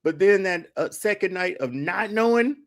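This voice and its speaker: A man co-hosts a podcast with a slightly high voice that swings widely as he gets excited. He talks casually and often rushes his words. His voice rises and drops sharply within a sentence. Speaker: podcast co-host. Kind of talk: casual chat.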